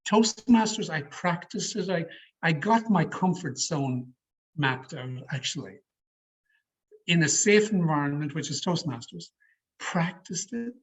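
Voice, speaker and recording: A middle-aged man with an Irish accent answering questions as a public speaking coach, deliberate and emphatic, over an online call. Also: high voice, breathy edge, rough recording. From Ireland. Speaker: speaker leading a public speaking education session. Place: online video meeting.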